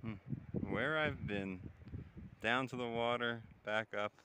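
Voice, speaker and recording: A man with a mid-pitched voice talks casually to his handheld camera as a solo hiker. He is chatty and given to exclamations of wonder. The outdoor recording is clearly noisy. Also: slow. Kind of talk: casual vlog narration while filming. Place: outdoors in windy, open mountain terrain. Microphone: handheld phone or camera while walking.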